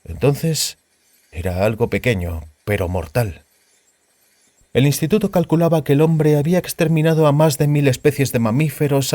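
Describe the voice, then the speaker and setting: A man's voice: medium pitch, darkish tone, no room sound. A man reading a science fiction story aloud as a scripted audiobook narration.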